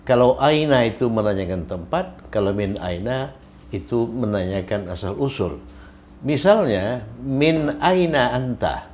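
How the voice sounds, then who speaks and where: A man's voice: medium pitch, expressive, unhurried, darkish tone, slight background noise. A man teaching an Arabic grammar lesson, patiently repeating each example and its translation.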